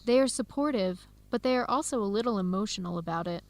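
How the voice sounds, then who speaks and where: A woman's voice: medium pitch, lively intonation, fast talker, a little mellow, close to an everyday voice. A woman playing a friendly young Ethiopian American grad student with an American accent in a radio drama, speaking in a conversational way. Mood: earnest. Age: young adult. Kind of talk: educational radio drama acting.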